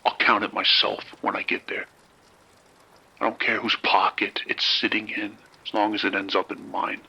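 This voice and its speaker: Man acting a husky, very low-voiced menacing crime boss. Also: little pitch movement, pushed and bright, exaggerated voice, rough recording. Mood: cold menace. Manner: measured, threatening, blunt. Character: menacing, cold crime boss collecting a debt. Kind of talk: audio drama acting.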